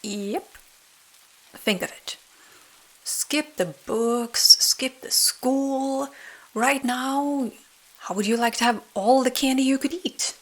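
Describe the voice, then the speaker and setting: A woman's voice: sits high, takes it slowly, pitched above the performer's own voice, poor audio. A woman voicing a sly male coachman in a bedtime story, wheedling and conspiratorial. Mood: tempting. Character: sly coaxing male coachman luring children with treats.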